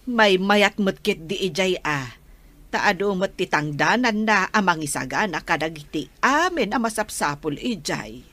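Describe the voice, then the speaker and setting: A chatty middle-aged woman in radio drama. Her voice sits slightly low but moves up and down with plenty of animation. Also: animated, measured pace, fairly bright tone.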